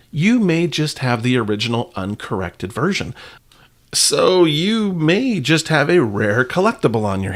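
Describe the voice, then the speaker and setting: A man hosting a podcast with casual, partly scripted commentary in a playful, self-deprecating manner. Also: medium pitch. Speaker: podcast host.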